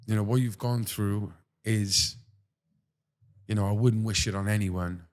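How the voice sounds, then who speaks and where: A man's voice: lowish voice, steady tone, a little slow. A man hosting an interview, talking in a rambling, chatty way that is full of fillers and restarts. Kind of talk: casual interview chat.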